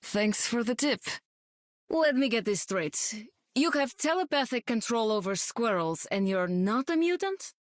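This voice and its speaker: An actress playing a young, sardonic demon queen and war captain, deadpan and dry, in a video game. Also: a little high, measured pace, no room sound. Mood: cool, deadpan. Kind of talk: video game character acting.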